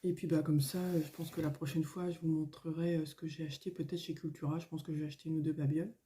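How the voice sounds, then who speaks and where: A middle-aged woman, a little hoarse, chatting casually at home in a vlog, rambling with many fillers and restarts. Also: sits low, flat delivery, fast talker, warm tone. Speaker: coloring vlogger. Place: at home, indoors.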